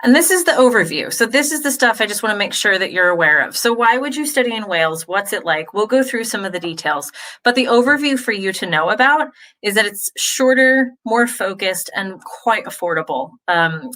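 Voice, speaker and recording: Bright, upbeat American woman hosting a livestream. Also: mid-range voice, lively intonation, a little fast. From United States. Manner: chatty and upbeat, with frequent fillers. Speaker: host of a university Q&A. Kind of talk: informative livestream presentation. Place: home in Wales. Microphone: video call.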